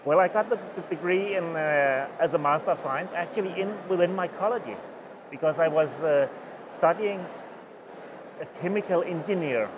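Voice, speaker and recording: A middle-aged Danish man with a Danish accent, giving an oral history interview at a conference and talking in halting phrases with many restarts. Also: fairly high pitch, background noise. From Denmark. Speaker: interviewee, mycologist. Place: small booth at a conference, indoors. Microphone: lapel mic.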